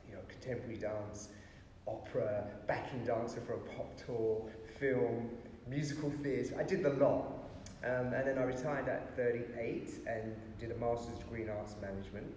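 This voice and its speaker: A man in his fifties talks about producing dance in an easy, animated way, often saying "you know". His voice rings out in a very echoey, reverberant hall. There is a faint hiss behind him. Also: medium pitch. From Pakistan, raised in North London. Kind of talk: casual interview.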